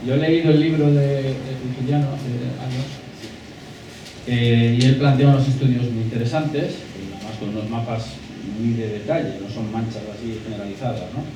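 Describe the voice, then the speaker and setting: A man's voice: mid-range voice, steady tone, normal speed. A man on a discussion panel, answering an audience question in an emphatic, pessimistic way. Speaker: panel speaker. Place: lecture hall. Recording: echoey hall, distant mic, background noise.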